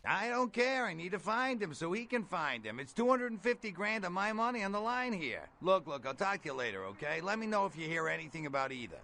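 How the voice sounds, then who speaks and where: A man playing a brash, folksy middle-aged bail bondsman in a video game, with a gravelly voice and blunt, slangy talk. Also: high voice, big pitch swings, fairly quick, hardly any pauses, bright, forceful tone, a little hiss or hum, full character voice. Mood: irritated. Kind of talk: video game character dialogue.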